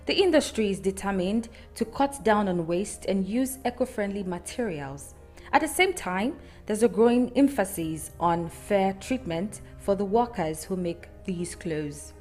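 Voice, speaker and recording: Female news reporter, voice-over. Measured, formal read with faint music underneath. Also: mid-range voice, expressive, darkish tone.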